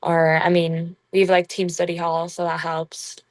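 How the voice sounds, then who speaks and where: A young woman whose Irish accent has turned strongly American talks in a low, flat voice. She chats casually and haltingly, leans on fillers, and laughs easily. The sound is dry and close. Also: no room sound. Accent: Irish with a strong American tinge. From Ireland. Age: young adult. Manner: relaxed, halting, full of "like" fillers, laughs often. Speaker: college basketball player guest. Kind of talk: casual interview chat.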